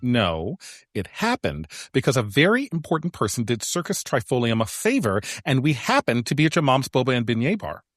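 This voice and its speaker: A woman playing an excitable, grandiose young adventurer in a science fiction audio drama, speaking in a florid, mock-heroic way. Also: rumbling low, a little fast, no echo, studio quality, full character voice. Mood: defensive. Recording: music under the voice.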